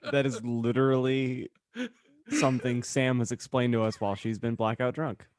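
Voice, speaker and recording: A man's voice: medium pitch, steady tone, normal speed, darkish tone. A man co-hosting a podcast with casual comedic banter. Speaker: podcast host.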